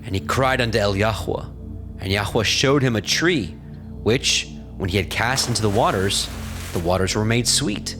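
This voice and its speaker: A man's voice: fairly low pitch, normal speed. A man narrating scripture from a script in a solemn, formal storytelling manner. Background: prominent music.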